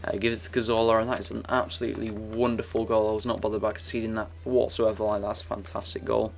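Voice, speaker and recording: A young man giving casual, rambling commentary over his football game footage, his voice croaky and full of fillers and restarts. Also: fairly low pitch, flat delivery, fast talker. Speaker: gaming YouTuber.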